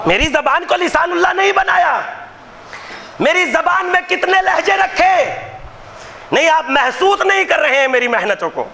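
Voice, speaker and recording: A man delivering a Muharram majlis sermon in impassioned oratory, shouting many lines. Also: extremely high pitch, animated, moderate pace, noisy background. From Gujarat, India. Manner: impassioned, declaiming, often shouting rhetorical lines. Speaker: maulana preaching a majlis. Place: religious gathering hall.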